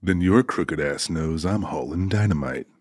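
An actor playing an easygoing, sassy trucker in an audiobook, folksy and teasing. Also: male voice, deep voice, moderate pace, dry recording. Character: easygoing, sassy male trucker with a joking temper. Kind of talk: dramatized audiobook dialogue.

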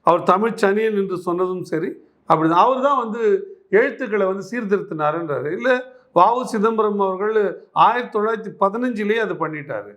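A man speaks in a notably high-pitched voice. He comments on politics as an interviewee, pressing his case with emphatic repetition and pointed rhetorical questions. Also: expressive, a little fast, fairly bright tone. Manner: argumentative, emphatic, repeats phrases and poses rhetorical questions.

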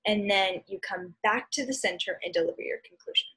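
A woman's voice: mid-range voice, brisk, sharp tone, breathy edge, rough recording. A young adult American woman giving an informal lesson over slides, explaining hesitantly with trailing asides, recorded on a computer mic at home. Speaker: speech and debate instructor. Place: small room at home, indoors. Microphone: computer mic on a video call.

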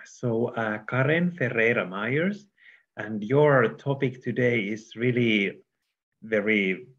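A man with a fairly low voice moderates an online forum. He introduces the guest in hesitant, halting phrases, and the video call sound is slightly dull and lo-fi. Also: a bit bright.

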